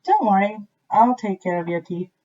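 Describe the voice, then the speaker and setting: Woman as a high-pitched plucky little mouse. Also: very animated, moderate pace, dark tone, lo-fi sound. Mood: reassuring. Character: little brave helpful mouse.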